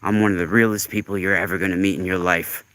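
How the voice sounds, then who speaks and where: A man's voice: deep voice, steady tone, fast, fairly bright tone, a little hiss or hum. A man in his forties hosting a casual livestream monologue, talking in an earnest, emphatic, rambling way. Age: 40s. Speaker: livestream host.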